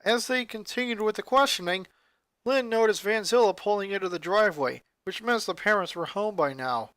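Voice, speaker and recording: A narrator reading scripted fan fiction aloud in an expressive storytelling style. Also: mid-range voice, normal speed, no room sound.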